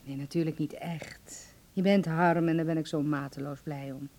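A woman's voice: sits low, fairly even intonation, moderate pace, a little mellow, lightly acted. An actress playing a doting, fussing middle-aged mother in a Dutch radio play. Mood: wondering, fond. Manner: fond, fussing, exclaiming. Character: doting middle-aged mother welcoming her son home.

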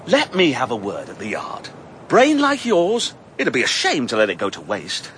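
An actor playing a brusque, blunt police inspector who scolds, then grudgingly praises in a radio drama. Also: male voice, mid-range voice, wildly swooping pitch, a bit bright, slight background noise. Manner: brisk, blunt, gruffly approving. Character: brusque, gruff but fair police inspector.

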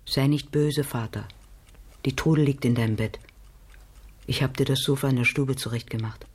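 An actress playing a weary, evasive middle-aged wife in a radio drama, plain-spoken and guarded, with a slightly husky voice. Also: female voice, rumbling low, steady tone, moderate pace, darkish tone. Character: weary, evasive wife who stands up for herself.

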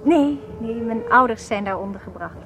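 A woman's voice: fairly high pitch, normal speed, over music, natural voice. An actress playing a polite, chatty young refugee woman in a radio drama. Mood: chatty, friendly. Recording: radio play with clear background noise. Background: train ambience.

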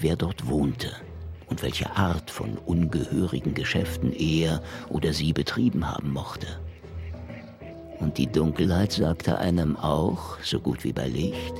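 A man's voice: very deep voice, gravelly, exaggerated voice. An actor playing a world-weary, cynical male detective who narrates his case in a radio drama, wry and brooding. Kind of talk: first-person noir narration. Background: music.

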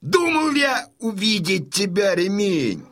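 An actor playing a proud, boisterous old Cossack father in a theatrical radio play, declaiming with a gravelly voice. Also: high voice, very animated, takes it slowly, a bit bright, exaggerated voice. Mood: hearty. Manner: declamatory, swinging from brooding murmur to hearty bellow. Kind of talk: theatrical audio drama acting.

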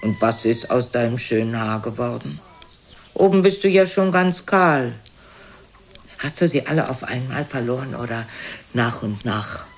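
An older woman playing a frail, sharp-tongued, reproachful old mother in a German radio play, scolding theatrically and dropping into whispers. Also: extremely low pitch, animated, unhurried, heavily acted. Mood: needling. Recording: noisy older radio recording.

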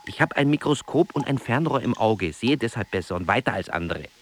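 An actor plays a lonely, disillusioned man in a literary radio play. His medium-pitched voice is sober and understated, with measured phrasing and a faint noise behind it. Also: animated, lightly acted. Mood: defiant, wounded. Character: reserved, disillusioned solitary man, quietly attentive listener. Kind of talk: restrained literary radio drama acting.

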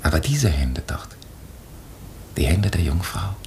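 Radio drama: a kindly, reflective priest, very low voice, faint rumbling noise on the recording. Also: male voice, very animated, measured pace, a little mellow. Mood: earnest, reflective. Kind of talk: German radio play acting.

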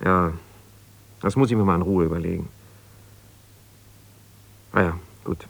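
Low-voiced, defensive husband. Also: male voice, measured pace, gravelly, exaggerated voice. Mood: stubborn, reluctant. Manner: argumentative, insistent, hesitating when conceding. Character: ambitious lawyer, abandoned husband, self-justifying, stubborn, defensive. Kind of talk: naturalistic radio drama monologue on the telephone.

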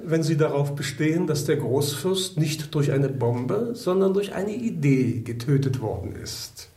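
An actor in a radio drama playing a suave, cynical Tsarist police chief, urbane, mocking and insinuating. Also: male voice, on the high side, a little mellow, reverberant.

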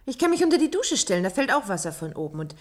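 A young woman of a future society in a German science-fiction radio play. Her husky voice moves very freely in pitch. She is skeptical, fond of comfort and playfully doubting. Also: very animated, fast. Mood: worried, dismissive.

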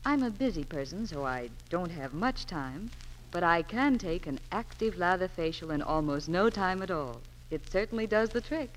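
A woman's voice: lowish voice, expressive, normal speed. A woman giving scripted beauty and fashion advice as a 1940s radio spokeswoman. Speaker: fashion reporter and sponsor spokeswoman. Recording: reverberant, noisy old 1940s radio recording.

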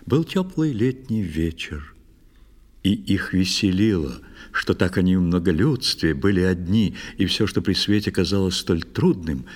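A man narrating a children's audio play, telling a verse tale in a measured, declamatory and expressive manner. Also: low-pitched, husky, heavily acted.

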